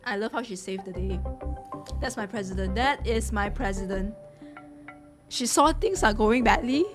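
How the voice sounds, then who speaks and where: A young woman streams her reactions to a game in a casual, chatty way. She is expressive, with a medium-pitched voice and often repeats phrases. Game music plays clearly behind her. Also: normal speed. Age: young adult.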